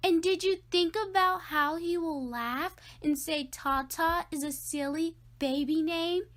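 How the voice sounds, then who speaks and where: A woman's voice: very high voice, a little slow, a bit bright, pitched above the performer's own voice. A woman voicing a taunting older sister in a children's storybook, with an emphatic, needling sing-song delivery. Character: teasing, needling older sister of a young boy. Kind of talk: children's storybook read-aloud.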